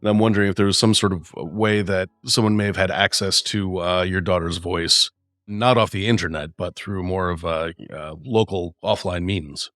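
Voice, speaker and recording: A man co-hosting a podcast, offering his analysis conversationally in measured, tentative phrases. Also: low-pitched, fairly even intonation, a bit bright, no room sound, studio quality. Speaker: podcast co-host.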